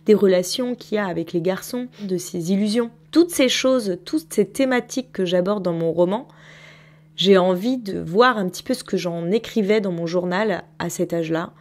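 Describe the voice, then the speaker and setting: A woman's voice: mid-range voice, darkish tone. A woman in her thirties, a novelist recording a spontaneous spoken diary, reflective and thinking aloud as she talks about her writing. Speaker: novelist keeping an audio diary.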